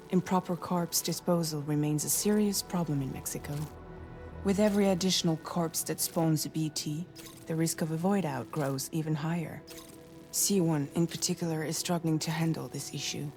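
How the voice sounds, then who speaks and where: An actress playing a composed woman guide in a video game, explaining a mission in a measured way. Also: low voice, warm tone. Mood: serious briefing. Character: composed woman guide briefing a courier, quietly caring. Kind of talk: video game cutscene dialogue. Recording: music behind.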